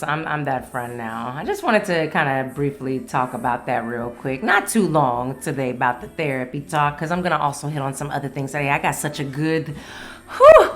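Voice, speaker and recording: A woman hosting a solo podcast in a casual, candid, playful way, swearing freely. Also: deep voice. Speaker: podcast host.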